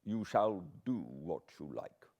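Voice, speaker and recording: A man playing a bereaved young English gentleman in an audiobook, courteous, earnest and full of feeling. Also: low-pitched, very animated, slow pace, vocal fry, exaggerated voice. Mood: warm, trusting. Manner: earnest, polite, emotional.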